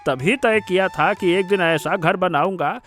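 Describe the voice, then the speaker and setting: आदमी की निभाई ऊँची आवाज़ वाला भावुक बूढ़ा पिता. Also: male voice, high-pitched, unhurried, a bit bright. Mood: warm, reminiscing. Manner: exclamatory, repeats words of praise. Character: warm elderly village father, delighted and sentimental storyteller. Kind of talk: Hindi cartoon story acting.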